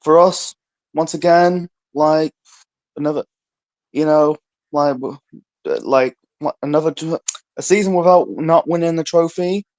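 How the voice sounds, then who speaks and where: Chill guy calling in, rambles, says you know a lot, bit higher voice. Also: a little slow, long pauses, darkish tone. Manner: laid-back, rambling, lots of fillers. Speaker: caller. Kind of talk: casual chat.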